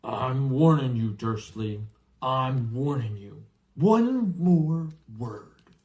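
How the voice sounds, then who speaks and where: A man voicing a gruff, warm-hearted bearded giant with a growling voice and rustic, colloquial speech in an audiobook. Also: mid-range voice, animated, drawn-out words, dull audio. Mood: menacing. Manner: rustic, colloquial, dropped words and dialect grammar.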